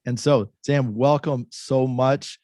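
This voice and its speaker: A man's voice: mid-range voice, fairly even intonation, slow pace, a little mellow, dry recording, clean studio sound. A man hosting a podcast interview, friendly and often stumbling over his words. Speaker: podcast host. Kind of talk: casual interview.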